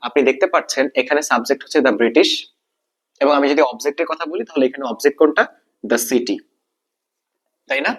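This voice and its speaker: A man with a Bangladeshi accent teaching an exam-prep grammar lesson, repeating key points and asking checking questions. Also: on the high side.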